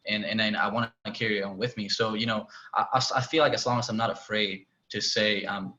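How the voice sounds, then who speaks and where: A man from Spain with a Spanish accent, talking as a panelist in a town hall discussion, halting and restarting often. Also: on the low side, steady tone, bright, forceful tone. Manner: halting, many restarts and "you know". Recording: dull lo-fi call audio.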